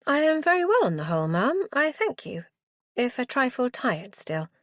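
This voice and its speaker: A woman's voice: high voice, sing-song, normal speed, fairly bright tone, dry recording, clean studio sound, pitched above the performer's own voice. A woman reading aloud, voicing a young noblewoman who speaks with polite restraint. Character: young noblewoman, composed, recovering from childbirth.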